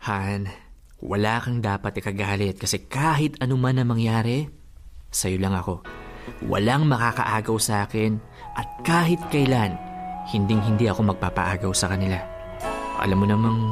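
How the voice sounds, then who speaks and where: A man playing a gentle, devoted young boyfriend with a Filipino accent, pleading expressively in a radio drama. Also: fairly low pitch, normal speed, long pauses, soft background music, lightly acted. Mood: devoted, reassuring.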